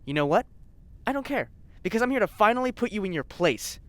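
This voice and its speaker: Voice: mid-range voice, sing-song, fairly quick, fairly bright tone. An actor playing a self-conscious, blustering villainous scientist in an audio drama, awkward and easily flustered. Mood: angry, blustering.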